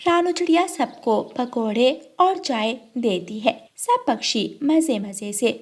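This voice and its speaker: A woman's voice: a little high, expressive, takes it slowly. A woman narrating a scripted children's cartoon story.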